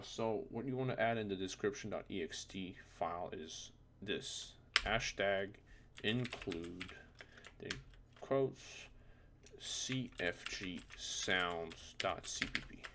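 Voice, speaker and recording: A man who is a non-native English speaker, presenting a casual, unscripted software tutorial in an informal, self-deprecating way with muttered asides. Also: lowish voice, creaky, noisy background. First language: not English.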